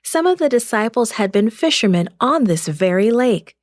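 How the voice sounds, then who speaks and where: A woman reading a scripted children's Bible story in a measured, careful read-aloud manner. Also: a little high, very animated, no room sound, studio quality.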